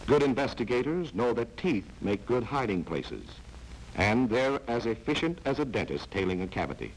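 A man's voice: mid-range voice, sing-song, normal speed. A man giving wry, dramatized 1940s film narration, speaking directly to a character. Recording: noisy vintage 1940s film soundtrack.

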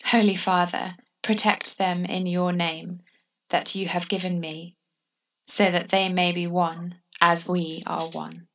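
A woman reading the gospel aloud in an even, measured way. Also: fairly low pitch, far from the mic, rough recording. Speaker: gospel reader.

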